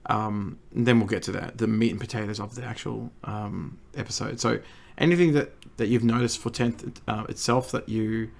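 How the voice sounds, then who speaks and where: An Australian man hosting a casual podcast chat, croaky and gravelly from a recent cold, stammering through restarts. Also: fairly low pitch, fairly even intonation, normal speed, few pauses. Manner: stammering restarts, laughs often. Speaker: podcast host.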